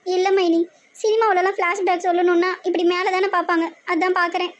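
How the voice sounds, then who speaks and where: A woman puts on a naive young village bride in a comedy skit, very high and whiny. She explains herself plaintively, with a southern Tamil Nadu accent. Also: level tone, fairly quick, highs cut off. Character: whiny, naive young newlywed daughter-in-law.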